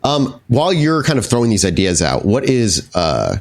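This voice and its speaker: A man's voice: fairly low pitch, huge pitch swings, normal speed. A man working as storyteller of a tabletop role-playing game, improvising narration and explaining the rules descriptively.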